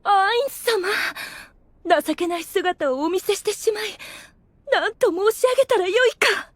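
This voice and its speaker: A woman's voice: very high-pitched, a little slow, no echo. A woman playing a proud, scheming demon woman in an anime audio drama, with theatrical, formal feminine speech. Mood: humbled.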